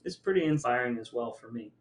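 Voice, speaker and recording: A man's voice: mid-range voice, expressive, quick pace. Middle-aged man podcasting in echoey room. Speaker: podcast host. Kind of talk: reflective family conversation.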